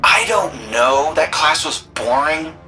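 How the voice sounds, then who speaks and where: An actor playing a cocky, upbeat young futuristic city guardian in a video game, breezy, slangy and offhand. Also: male voice, a little high, expressive, slow pace, music in the background. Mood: offhand explaining.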